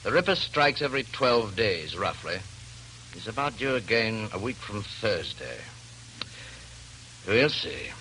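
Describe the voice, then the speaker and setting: An actor playing a polite, determined police inspector in clipped 1940s radio drama style. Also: male voice, on the low side, very animated, a little slow, pauses often, pushed and bright, heavily acted. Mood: grimly determined. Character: dutiful, determined male police inspector on a murder hunt. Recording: noisy old radio broadcast.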